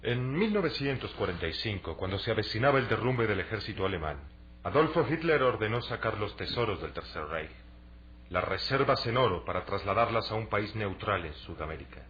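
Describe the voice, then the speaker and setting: A muffled, lo-fi radio drama recording of a young adventurer with a fairly low voice. He speaks Latin American Spanish in an earnest, persuasive way, laying out his case deliberately. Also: very animated, fairly bright tone, a little hiss or hum. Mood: explanatory. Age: young adult. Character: earnest young athletic adventurer selling a treasure hunt.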